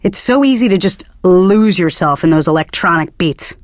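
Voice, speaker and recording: A woman's voice: lowish voice, lively intonation, normal speed, no room sound, close to an everyday voice. Woman playing a pretentious young hipster radio DJ, dry studio. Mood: earnest, smug. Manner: pretentious, earnest, condescending. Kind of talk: radio DJ patter between songs.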